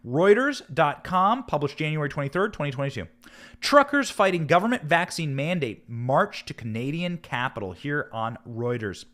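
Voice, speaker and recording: Male American political host: dramatic, emphatic delivery with very lively, swooping intonation. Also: on the high side. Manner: emphatic, dramatic, with rhetorical questions and drawn-out stressed words. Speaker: political commentary show host. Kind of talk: live political commentary with news read aloud.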